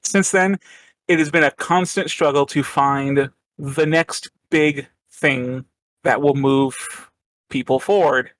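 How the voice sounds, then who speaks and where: A man runs a tabletop horror game as game master, laying out the setting in a loose, rambling way with plenty of fillers. His voice sits a little high. It is recorded close and dry, with no room sound. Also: steady tone, slow, fairly bright tone, no room sound. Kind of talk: casual tabletop game narration.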